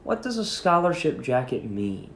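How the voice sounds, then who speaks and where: Man playing a quiet, firm old grandfather, echoey. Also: medium pitch, big pitch swings, normal speed, natural voice. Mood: quiet, questioning. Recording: echoey room.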